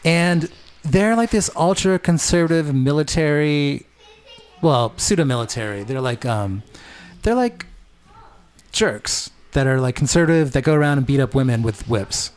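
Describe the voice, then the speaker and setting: A man's voice: on the high side, expressive, normal speed, darkish tone, smooth. A man hosting a podcast in a radio studio, chatting casually and rambling, with lots of "like" and "um". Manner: rambling, heavy use of "like" and "um". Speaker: podcast host. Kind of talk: casual chat. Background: faint background music.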